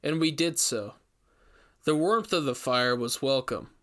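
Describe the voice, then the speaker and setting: A man playing an investigator who recounts a frightening ordeal in measured, emphatic, scripted first-person narration. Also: medium pitch, animated, a bit bright. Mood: tense, recounting. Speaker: narrator reading a story.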